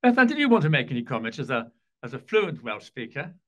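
A man's voice: mid-range voice, very animated, quick pace, muffled recording. An English man chairing a formal board meeting in a large boardroom, courteous and lightly self-deprecating. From England.

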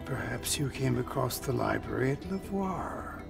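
A man's voice: medium pitch, lively intonation, moderate pace, creaky, far from the mic, music in the background. A man playing a solemn old android leader in a video game, speaking in a grave, cryptic, formal way. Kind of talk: cinematic video game dialogue.

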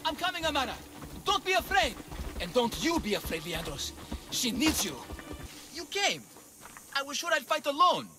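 A man's voice: very high-pitched, very animated, moderate pace, long pauses. A man playing an anxious, melodramatic father, a silver-tongued swindler in a video game, with theatrical, faltering speech. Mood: flustered, nervous. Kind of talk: dramatic video game dialogue. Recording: long cavernous reverb, music behind.

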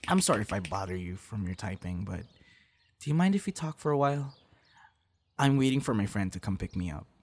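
A man playing a low, gravelly, friendly young guy. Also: very animated, brisk, darkish tone, slight background noise. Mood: friendly, teasing. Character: friendly, shy young male budding voice actor meeting stranger. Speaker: audio roleplay performer. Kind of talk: ASMR roleplay, casual one-sided conversation.

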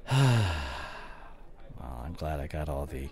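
Man acting as slow-talking, animated young gamer boyfriend. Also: very deep voice, exaggerated voice. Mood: distracted, puzzled. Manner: casual, slangy, coaxing, drawn-out phrasing. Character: forgetful, dismissive young gamer boyfriend, breezy with his buddies. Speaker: voice actor. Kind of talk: boyfriend audio roleplay, naturalistic acting.

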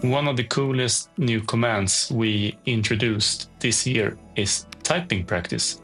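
A man, an engineer, giving a brisk scripted tip with a light teasing joke. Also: medium pitch, a bit bright, soft background music. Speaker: iOS engineer.

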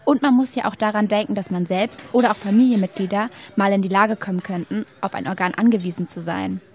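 A woman plays a young female university student in an educational audio drama. She has an average-pitched voice. Her lines come out neatly rehearsed yet conversational, open-minded and curious. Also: steady tone, quick pace, a little mellow, natural voice. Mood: reasonable, assured. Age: young adult. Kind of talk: scripted educational radio-play dialogue.

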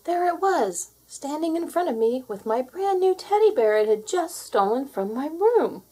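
A woman reading a children's story aloud in a dramatic, expressive storytelling manner. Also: high voice, moderate pace, low-quality recording. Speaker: storybook reader.

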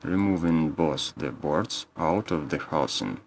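A man's voice: extremely low pitch, animated, unhurried, vocal fry, dry recording. A man narrating a scripted how-to as a repair technician, in terse step-by-step instructions.

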